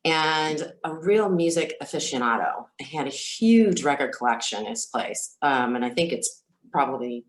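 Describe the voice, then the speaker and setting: A woman who is a singer telling stories as an interview guest, speaking casually and candidly in a halting, reflective way. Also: deep voice, expressive. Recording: roomy, echoey sound.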